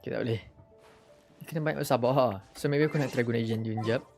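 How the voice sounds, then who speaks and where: A man's voice: mid-range voice, rapid-fire, vocal fry, darkish tone. A Malaysian-accented man giving casual live game commentary, talking to himself in short slangy exclamations. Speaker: game streamer. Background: game music.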